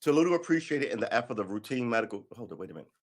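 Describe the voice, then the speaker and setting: A man's voice: mid-range voice, sing-song, racing. A man, reading an article aloud on a live stream and breaking in with sarcastic, profane, heated asides. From New York City. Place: indoor room by a window.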